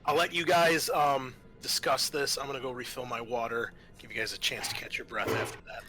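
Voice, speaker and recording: A man's voice: medium pitch, level tone, a little fast. A man acting as game master in a tabletop roleplaying game, explaining rules casually and thinking aloud as he goes. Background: background music.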